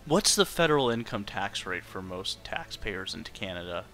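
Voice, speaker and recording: Bright, forceful man arguing his view. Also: fairly low pitch, big pitch swings, moderate pace, faint music behind. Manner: opinionated, conversational. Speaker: participant in a group discussion. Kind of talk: casual debate, chat.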